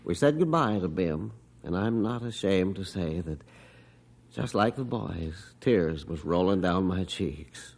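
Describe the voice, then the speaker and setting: A man's voice: sits low, expressive, measured pace, a little mellow, full character voice. An actor playing a middle-aged father telling a story reflectively in an old radio drama. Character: middle-aged father, gruff and warm-hearted. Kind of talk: 1950s radio drama acting.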